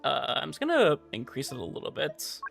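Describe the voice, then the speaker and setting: A young man livestreaming a game, chatting casually in a rambling, halting way with animated emphasis. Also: mid-range voice, vocal fry, faint music behind. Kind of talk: casual livestream chat over gameplay.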